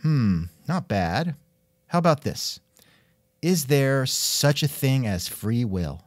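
Male podcast host, wry and jokey, animated intonation, mid-range voice, scripted comic interview. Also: medium pitch, slow pace, no echo, clean studio sound. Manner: wry, joking.

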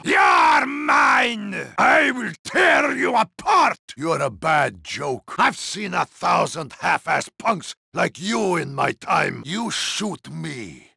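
An actor playing a hot-tempered, foul-mouthed gangster henchman in a video game gunfight, shouting threats in a gravelly, aggressive voice. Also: very high voice, no echo, clean studio sound, full character voice. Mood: threatening. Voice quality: gravelly, raspy. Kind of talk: video game combat barks.

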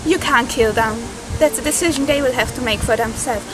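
A woman's voice: a little high, a little fast, music in the background, close to an everyday voice. A young woman playing a solemn, cryptic forest spirit who protects all creatures, in an amateur fairytale film. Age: young adult. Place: outdoors in woodland.

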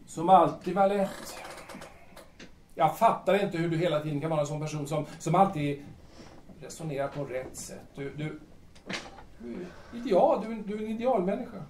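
In a distant, very echoey recording with faint noise, a man plays a grandiose young male playwright in an audio drama. His voice sits fairly high. He is agitated and theatrical, circling back over his own words. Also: expressive, long pauses, a little mellow. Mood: mock admiring. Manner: agitated, theatrical, repeats himself.

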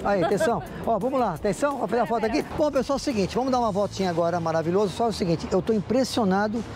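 A man's voice: high voice, racing, a crowd in the background, noisy background. A Brazilian man in his sixties, hosting a travel vlog with effusive, exclamatory narration, calling out to viewers as he tours a museum crypt and a city street. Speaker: TV host on a travel segment.

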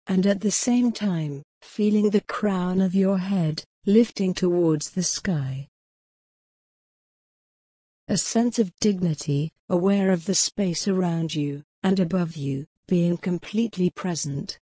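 A woman guiding a mindfulness meditation in a calm, gentle, unhurried way of instructing. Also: fairly low pitch, dry recording, clean studio sound. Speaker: meditation guide. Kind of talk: guided mindfulness meditation narration.

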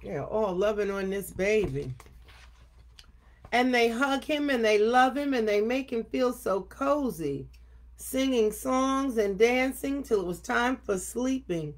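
An older woman tells a picture-book story aloud in a somewhat high voice. Her delivery is expressive and deliberate, stressing key phrases as a storyteller does for children. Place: home office room indoors. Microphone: webcam at desk distance.

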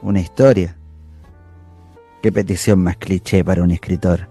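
A man's voice: low-pitched, fairly even intonation, normal speed, mellow, husky, soft background music. A man playing a wry, teasing writer in a radio drama. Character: sickly, teasing male writer in quiet night conversation.

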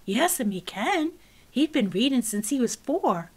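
Give me a high-pitched young girl pleading urgently, as a woman puts her on. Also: big pitch swings, measured pace, husky, full character voice. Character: pleading nine-year-old Southern girl, bold.

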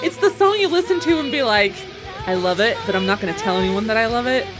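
A woman's voice: a little high, lively intonation, fast talker. A woman chatting casually with fellow voice actors in a group commentary. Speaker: voice actress in a cast commentary. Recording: loud music playing behind the voice.

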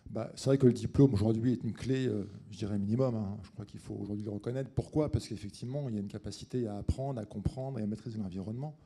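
A man's voice: lowish voice, quick pace, hardly any pauses, mellow, slightly rough. A middle-aged man speaking as an executive in a panel discussion on stage into a handheld microphone. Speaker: company executive, conference panelist. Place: conference auditorium stage.

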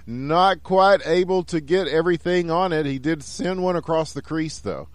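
A man with a Texan accent doing play-by-play commentary of a video game hockey match, getting excited and shouting on goals. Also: a little high, moderate pace, no room sound. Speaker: esports caster.

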